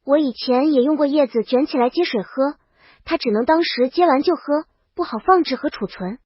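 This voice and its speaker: Woman, story narrator, high pitch, scripted audiobook reading, close dry sound. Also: normal speed, no echo, lo-fi sound.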